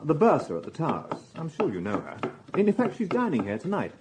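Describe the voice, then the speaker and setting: An actor playing a dry, insistent police inspector with a British accent, questioning in a measured, sardonic way in a radio drama. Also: male voice, on the high side, huge pitch swings, background noise. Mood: dry, probing.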